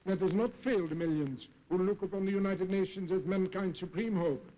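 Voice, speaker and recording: A man's voice: sits high, brisk. A man from the Netherlands reading a formal speech in a solemn, oratorical manner as presiding diplomat. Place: assembly hall podium. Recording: dull 1950s newsreel archive sound.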